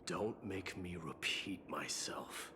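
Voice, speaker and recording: An actor playing a proud, stern young warrior prince in a video game, speaking in formal, imperious declamation. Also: male voice, low voice, takes it slowly, in a large hall, heavy background noise. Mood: cold, threatening. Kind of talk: scripted video game acting.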